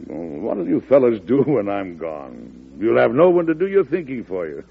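An actor playing an aging, stubborn former president in an old-time radio drama, emphatic, folksy and self-assured. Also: male voice, medium pitch, huge pitch swings, normal speed, creaky, breathy edge, heavily acted. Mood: jovial, confident. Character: aging former president, stubborn, commanding, wily political boss. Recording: muffled lo-fi old radio broadcast.

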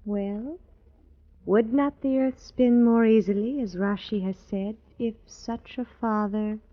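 A woman playing a gentle, devoted young woman in an old-time radio drama, speaking in stylized archaic phrases. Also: a little high, fairly even intonation, takes it slowly. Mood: earnest. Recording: dull old radio recording.

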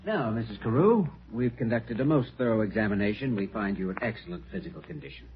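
An actor playing an earnest, polite younger doctor with a businesslike, quietly wry manner, in old radio drama style. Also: male voice, fairly low pitch, expressive, fast, music in the background, natural voice. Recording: tinny, faintly hissy old radio recording.

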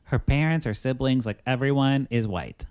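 A woman co-hosting a casual chat show, animated and quick to get worked up as she reads quotes aloud. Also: extremely low pitch, smooth, no room sound. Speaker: podcast co-host.

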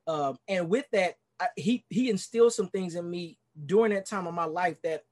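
A man's voice: sits high, normal speed, darkish tone. A man in his forties from Dallas with a Texan accent, a pastor sharing his life story in a casual interview over a video call, earnest and rambling with many fillers. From Dallas, Texas. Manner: earnest, rambling, many "you know"s and restarts. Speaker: pastor and podcast guest.

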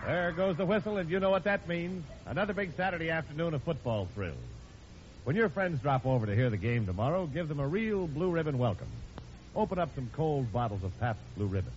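A man's voice: fairly high pitch, animated, brisk, a little hiss or hum. A man with an American accent working as a radio announcer, reading in a polished 1940s commercial style. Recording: dull old radio recording.

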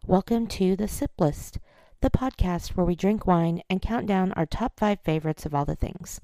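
Woman podcast host, hoarse scratchy voice with a low, mellow tone, rambling friendly chat. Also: moderate pace, no echo. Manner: chatty, self-deprecating, rambling. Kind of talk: casual solo chat.

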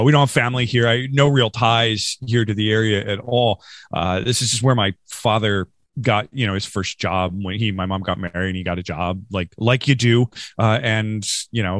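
A man's voice: lowish voice, fairly even intonation, normal speed, fairly bright tone, no room sound, studio quality. A man from Western New York, chatting as a podcast guest in a rambling, self-deprecating way with frequent laughs. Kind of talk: casual interview chat.

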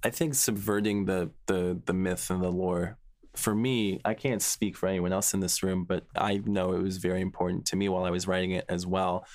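Very deep-voiced man in thoughtful discussion. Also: level tone, brisk, a little mellow. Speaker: podcast guest, series writer. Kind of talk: casual behind-the-scenes discussion.

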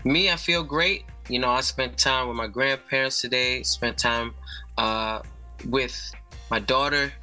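Bright-voiced Bronx guest, relaxed. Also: male voice, mid-range voice, measured pace, soft background music. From North Bronx, New York. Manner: relaxed, explanatory, "you know" often. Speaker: guest musician. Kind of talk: casual interview.

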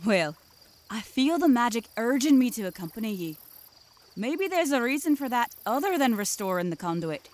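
A woman's voice: a little high, big pitch swings, normal speed. A woman playing a stately, helpful elder of magical water folk, speaking formally and grandly in a fantasy comedy audio drama. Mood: earnest. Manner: formal, grandly archaic diction.

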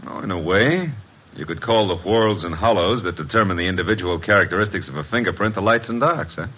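An actor playing a breezy, wisecracking young detective's assistant with slangy, sardonic delivery in a classic radio mystery. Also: male voice, sits low, brisk, bright, forceful tone, husky, full character voice. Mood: cooperative. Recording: noisy old radio recording.

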